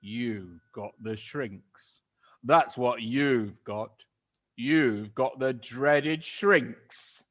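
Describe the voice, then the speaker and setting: A man voicing a cruel, gloating old husband who taunts slowly and mockingly. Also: medium pitch. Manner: slow, drawn-out and mocking.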